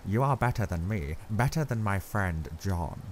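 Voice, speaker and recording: A man voicing an older, grave and kindly foreign professor who speaks in halting, odd word order. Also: lowish voice, lively intonation, a little hiss or hum, lightly acted.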